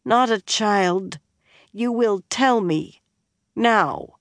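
A woman voicing a tipsy, proud young noblewoman who turns tearful, in a high, pleading voice. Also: very slow. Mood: petulant.

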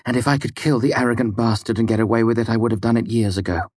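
A man voicing a blunt, sarcastic, proud small woman with a curt, sharp manner, as in an audiobook. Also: mid-range voice, fairly even intonation, fast talker, no room sound, voice pushed up, lightly acted. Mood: cold fury. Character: sardonic, blunt, foul-mouthed small woman with fierce pride. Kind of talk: audiobook character dialogue.

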